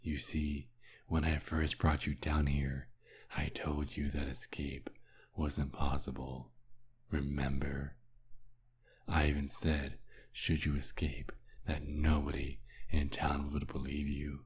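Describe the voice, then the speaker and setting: A man playing a cruel, mocking vampire kidnapper in an ASMR roleplay, talking slowly and menacingly with breathy, whispered edges. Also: a bass voice, monotone, slight background noise. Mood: taunting, smug.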